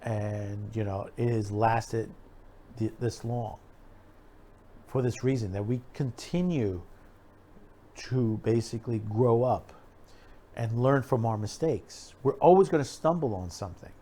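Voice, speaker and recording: A man's voice: medium pitch, a little slow, long pauses, mellow, slight background noise. A middle-aged American man giving unscripted opinion commentary as a show host, rambling and emphatic, often repeating himself. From United States.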